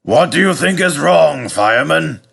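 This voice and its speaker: A man voicing an authoritative, cautious but fair railway controller in a storybook, speaking in a deliberate, weighty manner. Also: fairly high pitch, expressive, vocal fry, fairly bright tone, husky, pitched above the performer's own voice, full character voice. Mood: concerned.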